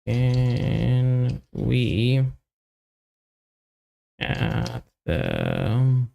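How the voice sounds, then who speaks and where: A man thinking aloud as he hosts a live coding stream, muttering in halting, half-finished phrases. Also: medium pitch, wildly swooping pitch, creaky, dark tone, dull audio.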